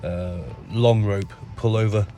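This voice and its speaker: A man with a nasal voice from a cold, explaining his gym workout in a relaxed voice-over full of ums. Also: lowish voice, slow, faint music behind. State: has a cold. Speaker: bodybuilder narrating his workout. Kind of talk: casual voice-over commentary.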